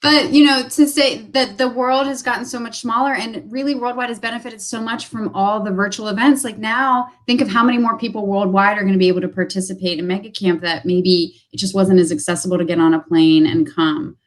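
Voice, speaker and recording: A woman's voice: medium pitch, fairly even intonation, a little fast, hardly any pauses. A woman talking business as a guest on a video call, upbeat and full of fillers, repeating her phrases for emphasis.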